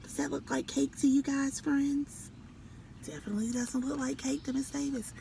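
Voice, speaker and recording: A woman storyteller reading a picture book aloud to children and pausing to ask them questions. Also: high voice, fairly even intonation, gravelly, dark tone, a little hiss or hum.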